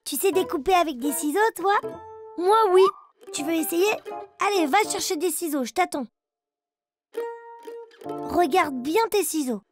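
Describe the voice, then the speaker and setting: Little boy bear cub, super high kiddie voice, slow simple chatty cartoon talk, music behind. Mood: friendly, instructive. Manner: simple, step-by-step childlike explaining. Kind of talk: children's cartoon, instructive nursery narration. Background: children's music.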